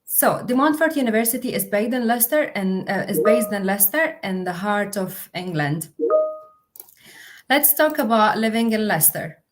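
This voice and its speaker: A woman's voice: mid-range voice, animated, normal speed. A young woman, a university officer, presenting a livestream with many fillers and restarts. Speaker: international officer.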